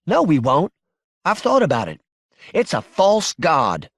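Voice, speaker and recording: Male narrator as young wife, high-pitched, anxious, plaintive, folksy, swooping intonation, audiobook character. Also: expressive, moderate pace, no echo, clean studio sound, close to an everyday voice. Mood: frightened, pleading. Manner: plaintive, folksy rural speech. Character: anxious, wounded-hearted young Southern wife, pleading and fretful.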